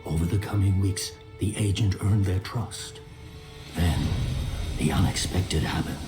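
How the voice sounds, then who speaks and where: Very deep-voiced male fantasy narrator. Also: fairly even intonation, unhurried. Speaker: story narrator. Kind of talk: scripted fantasy game narration. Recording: roomy echo, faint music behind.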